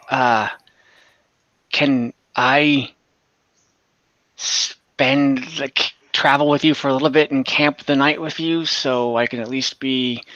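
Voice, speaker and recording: A man plays a worn-out, humble traveler in a fantasy tabletop game. The voice is forward and bright, with halting, apologetic stammers. The sound is dry and slightly dull. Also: medium pitch, no room sound. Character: weary, sunburnt peasant traveler, humble and hesitant.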